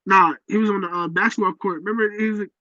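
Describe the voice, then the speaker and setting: High, bright-voiced slangy man. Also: steady tone, racing, no room sound. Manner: slangy, emphatic. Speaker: podcast co-host. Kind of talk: casual opinion chat. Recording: dull lo-fi sound.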